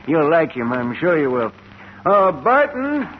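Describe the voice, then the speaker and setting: An elderly scientist in an old radio play, frail but excitable. His voice is high, his manner fond and eagerly proud. The sound is muffled, with faint hiss. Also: slow. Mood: eager, affectionate. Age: older. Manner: chuckling, avuncular, eager. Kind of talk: vintage radio drama acting.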